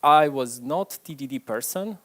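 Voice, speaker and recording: A man's voice: medium pitch, moderate pace. Chatty man giving a talk. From Ukraine. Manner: chatty, self-deprecating, lively. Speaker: conference speaker. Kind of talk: tech conference talk. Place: stage before a live audience.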